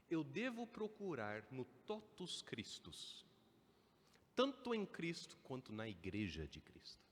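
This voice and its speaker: A man's voice: fairly high pitch, husky. A man preaching a Sunday evening sermon, building to emphatic stresses. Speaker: pastor. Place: church sanctuary. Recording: church hall with long reverb.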